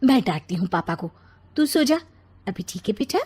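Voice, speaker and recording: A woman's voice: mid-range voice, very animated, moderate pace, darkish tone, husky. Woman acting a chiding, protective cartoon mother. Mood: soothing. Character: reproachful, protective young mother and wife. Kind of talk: cartoon family-story acting.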